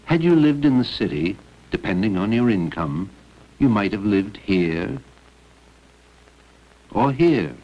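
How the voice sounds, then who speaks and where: A man's voice: lowish voice, very animated, slow pace, long pauses. A man reading scripted narration for an educational film. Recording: dull lo-fi old educational film.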